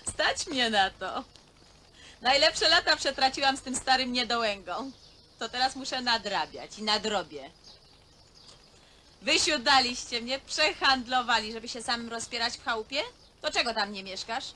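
An actress playing a hard, coquettish middle-aged widow, blunt and taunting, in naturalistic film drama. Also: female voice, on the high side, animated, moderate pace, bright, forceful tone. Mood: bitter, defiant. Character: hard, coquettish widowed woman craving a younger man. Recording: faintly noisy old film soundtrack.

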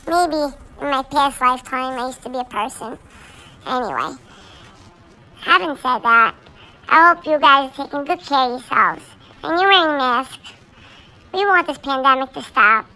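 A chatty six-month-old boy Sheltie puppy, as someone puts on his voice, rambling on in an earnest, childlike way. The voice sits high and shines bright and sharp, like a small pup. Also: slight background noise, full character voice, rough recording. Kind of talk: talking-pet video monologue.

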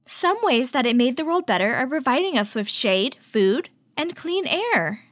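A woman hosting a children's story time, reading a picture book aloud and teaching with clear, instructive delivery. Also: high voice, very animated, moderate pace, a bit bright, no echo, clean studio sound. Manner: clear, instructive, addressing young children with questions.

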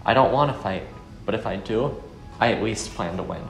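A weary, brooding former soldier, acted by a man in a visual novel. His voice is low and his delivery earnest and measured. It sounds in an echoey room with music underneath. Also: natural voice. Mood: resolute. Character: weary, brooding male ex-soldier turned fisherman.

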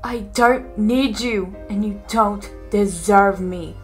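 A woman's voice: mid-range voice, slow pace, faint music behind, voice pushed down, natural voice. A woman voicing a betrayed young woman, hurt, angry and accusing. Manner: wounded, accusing.

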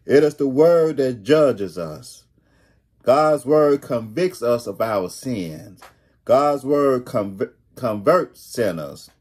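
Slow-paced preacher, middle-aged man. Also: medium pitch, lively intonation, darkish tone, husky. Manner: deliberate, repeats key phrases for emphasis. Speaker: pastor. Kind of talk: sermon, read from notes. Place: small home room, indoors.

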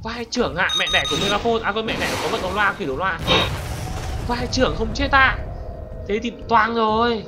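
A man with a distinctly high-pitched voice commentates on a video game. He talks casually and excitably, exclaiming and repeating words in slangy phrases. Music plays clearly behind him. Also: brisk. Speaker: gaming YouTuber, host.

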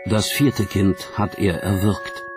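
A man with a fairly low voice delivers scripted documentary narration. Music plays clearly behind his words. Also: fairly even intonation, slow.

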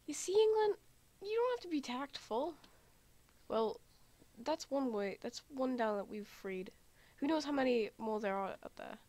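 A woman's voice: high voice, expressive, unhurried, darkish tone. A woman voicing a frank, confident male anime character, blunt and explanatory, in an amateur fan drama. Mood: brash. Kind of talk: amateur fan dub roleplay.

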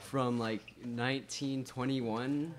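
A man's voice: mid-range voice, fairly even intonation, slow pace. A young man, a student co-host, chatting casually. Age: young adult. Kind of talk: casual chat. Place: small classroom studio. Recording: loud noise with clicks.

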